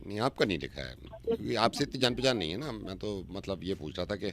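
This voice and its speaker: Calm male radio prank caller. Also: fairly low pitch, quick pace, faint music behind. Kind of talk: prank phone call.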